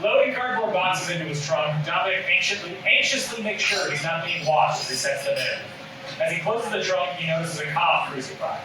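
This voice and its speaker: A man's voice: high-pitched, moderate pace. Male table-read narrator, stage directions, distant mic with noticeable noise and strong room echo.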